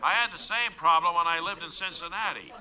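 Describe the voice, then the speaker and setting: A man playing an anxious, literal-minded caller to a radio advice show, halting and plaintive in a comedy sketch. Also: on the high side, sharp tone, slight background noise, low-quality recording.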